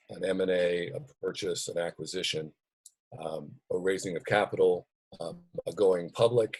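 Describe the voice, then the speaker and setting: An older man on a panel over a video call, explaining his work informally and haltingly, thinking aloud. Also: deep voice, darkish tone, breathy edge, no echo. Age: 50s or older. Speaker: panelist, brand strategy consultant. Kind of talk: informal professional self-introduction.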